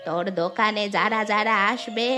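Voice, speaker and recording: Woman acting kind old village granny, clear music behind. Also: mid-range voice, moderate pace, sharp tone, natural voice. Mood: brisk, bossy. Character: kind, forgiving old village grandmother, brisk and plain-spoken. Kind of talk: Bengali fairy-tale cartoon voice acting.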